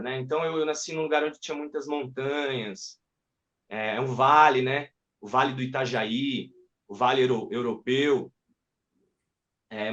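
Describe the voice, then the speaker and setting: A man's voice: mid-range voice, a bit bright. A man, a guest actor and puppeteer in a live video-call interview, talking in a rambling way with many restarts. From Paraná, southern Brazil. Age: 30s.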